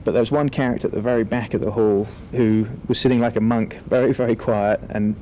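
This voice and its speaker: A man talking as a film director in a relaxed, wordy, articulate interview. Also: lowish voice, fast, slight background noise, poor audio.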